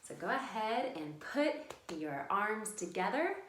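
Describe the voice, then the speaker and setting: A woman's voice: medium pitch, big pitch swings, slow pace, slight background noise. Echoey woman hosting children's storytime. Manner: encouraging, addresses children directly with simple instructions. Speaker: children's library storytime host. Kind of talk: interactive storytime for young children. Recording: echoey room sound.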